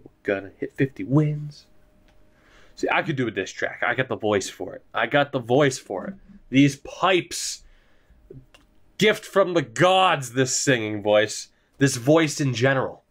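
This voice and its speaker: A man's voice: medium pitch, lively intonation, measured pace. A young man giving casual, rambling commentary over a hockey video game, swearing often and joking at his own expense. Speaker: gaming YouTuber.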